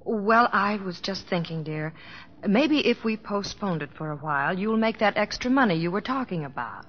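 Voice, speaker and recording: An actress playing a gentle, devoted wife who narrates tenderly in an old-time radio drama. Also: female voice, medium pitch, lively intonation, moderate pace, slightly rough. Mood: gentle, coaxing. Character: gentle, devoted wife narrating her comedian husband's struggles. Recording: dull old radio recording, music behind.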